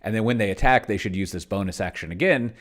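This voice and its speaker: A man's voice: lowish voice, fast. A man giving casual screen-share commentary as he plays, musing aloud in a rambling way and trailing off into mutters. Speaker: tabletop game player and video creator.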